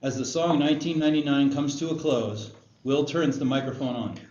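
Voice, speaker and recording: A man's voice: mid-range voice, steady tone, a little fast, a little mellow. A man narrating stage directions at a screenplay table read. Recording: echoey room, muffled, faint noise.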